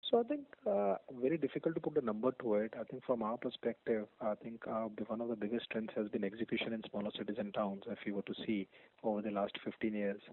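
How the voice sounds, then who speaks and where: A man's voice: lowish voice, fast. A man with an Indian accent answering as an executive on a business call, speaking off the cuff with hesitant phrasing and emphatic repetition. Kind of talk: unscripted business commentary. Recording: tinny narrowband conference phone line.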